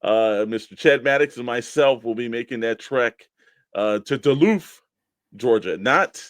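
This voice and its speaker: A man's voice: medium pitch, expressive, a little slow, no room sound, studio quality. An American man co-hosting a toy collecting show in casual, informal conversation with spoken emphasis. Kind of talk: casual radio-show chat.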